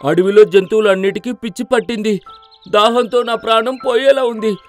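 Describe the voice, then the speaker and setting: A man's voice: sits high, steady tone, normal speed, faint music behind, lightly acted. A man voicing a desperate, reckless young male deer with a plaintive manner in a children's cartoon story. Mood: desperate, defiant.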